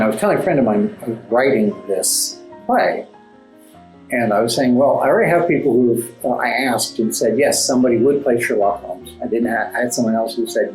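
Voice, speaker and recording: An articulate man with a mid-pitched voice talks as an interview guest, choosing formal words and pausing often as he goes. The room adds clear echo, and classical music plays underneath. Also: darkish tone. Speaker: guest playwright. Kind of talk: conversational interview answers. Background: classical background music.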